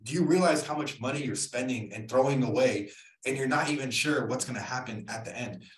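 A man's voice: medium pitch, a little fast. A young man speaking as an interview guest, punchy and persuasive, asking rhetorical questions, on a studio mic over a video call. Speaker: guest entrepreneur. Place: room at home. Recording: roomy echo.

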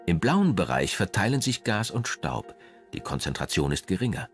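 A man reading scripted documentary narration in a measured, polished way. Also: deep voice, sing-song. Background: background music.

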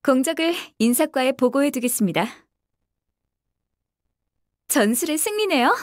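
Casting: young female tactical announcer in a game, very high voice, polite, composed military formality, dry studio sound. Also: very animated, normal speed, dry recording. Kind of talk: scripted game voice acting, tactical radio briefing.

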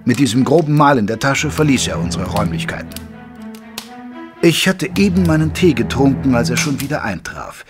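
An actor playing a loyal gentleman doctor who narrates a detective story in a measured, literary way. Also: medium pitch, lively intonation, music in the background, natural voice. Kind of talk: scripted audio drama narration.

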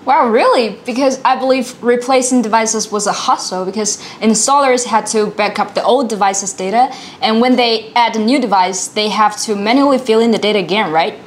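A woman's voice: fairly high pitch, normal speed, hardly any pauses, slight background noise. A woman in her thirties co-presenting in a scripted product dialogue, responsive and inquisitive. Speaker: co-presenter asking questions.

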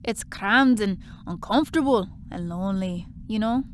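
A woman's voice: mid-range voice, moderate pace, slight background noise, lightly acted. A woman playing a breezy, blunt young part-time worker in an audio drama, chatty and offhand. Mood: chatty, hesitant.

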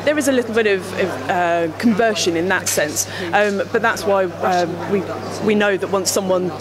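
A woman's voice: mid-range voice, normal speed. A woman in her thirties explaining products at a trade show stand, talking with many fillers and restarts into a handheld mic. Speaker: company representative. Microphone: handheld mic held by interviewer. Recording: crowd chatter behind.

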